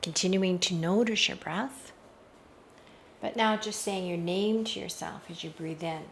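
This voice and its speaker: A woman leading a slow guided meditation in a measured, hushed voice that often softens into breathiness, recorded outdoors. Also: lowish voice, a little hiss or hum. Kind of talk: slow, soothing guided meditation with hypnotic induction. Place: outdoors in a garden. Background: faint outdoor garden ambience.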